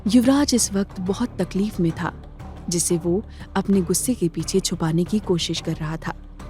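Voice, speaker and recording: A woman narrator with a lowish, mellow voice tells a dramatic audio story. Her delivery is measured and scripted, with soft music underneath.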